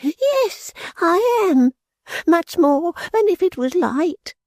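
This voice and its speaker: Guy putting on a scared rustic servant lady, squeaky and high, pleading, dry close sound. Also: big pitch swings, slow, a little mellow, no room sound, exaggerated voice. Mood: fearful. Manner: rustic ungrammatical speech, pleading. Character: frightened servant woman, timid, superstitious. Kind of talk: audiobook character voice.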